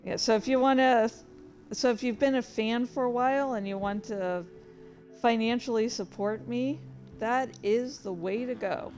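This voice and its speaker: A woman's voice: fairly high pitch, moderate pace, darkish tone, faint music behind. A woman hosting a livestream, chatting casually and rambling to her viewers in a playful, easily sidetracked way. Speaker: livestream host and streamer.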